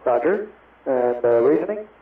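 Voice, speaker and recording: A man's voice: medium pitch, expressive, normal speed, roomy sound, distant mic. A man speaking as a pilot in brief replies over aviation radio. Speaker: airline or jet pilot. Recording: tinny, noisy cockpit radio.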